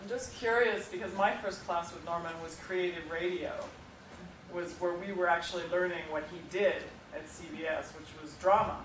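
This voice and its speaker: A man's voice: high-pitched, fairly even intonation, moderate pace, fairly bright tone, distant mic. An American man asking a casual, rambling question from the audience as a former student. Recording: roomy hall, off mic, faint noise.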